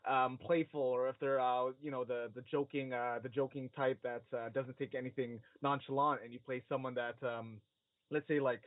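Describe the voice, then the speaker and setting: A man with a medium-pitched voice chats casually on a podcast. His delivery is hesitant and halting as he works out his opinion. The recording is narrow and phone-like, with no room sound. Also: little pitch movement, no echo. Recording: narrow phone-like band, dry.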